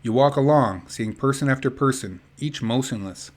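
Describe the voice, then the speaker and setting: A man's voice: medium pitch, moderate pace. A man reading a book aloud in an informal, chatty way with casual asides. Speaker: reader of an activist book.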